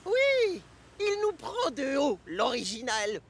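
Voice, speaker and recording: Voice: high voice, wildly swooping pitch, slow, vocal fry, a bit bright, heavily acted. An actor voicing mischievous, boyish twin tank engines in a French cartoon dub, teasing and boastful. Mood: boastful, mocking. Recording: music behind the voice.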